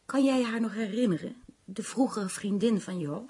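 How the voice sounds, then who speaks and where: Husky, dramatic actress as a concerned gossip. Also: female voice, medium pitch, moderate pace, dark tone, natural voice. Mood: agitated, probing. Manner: dramatic, lingering over grim news. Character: concerned, gossipy woman confiding in her friend. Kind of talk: radio play acting.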